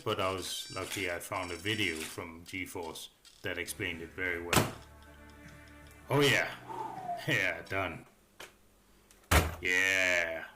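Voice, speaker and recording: A man's voice: low-pitched, very noisy. A man giving a casual, unscripted voice-over review as a hobbyist, thinking aloud in a hesitant, rambling way. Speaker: hobbyist reviewer narrating a build. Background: background music.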